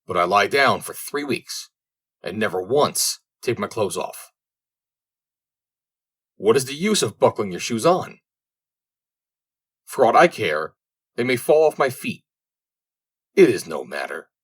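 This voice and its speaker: Casting: male narrator as pompous idle manservant in a folk tale, low husky drawl, proud of his sloth. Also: very animated, unhurried, long pauses, heavily acted. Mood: smug, lazy. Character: slothful servant, proudly scolding, slow and low.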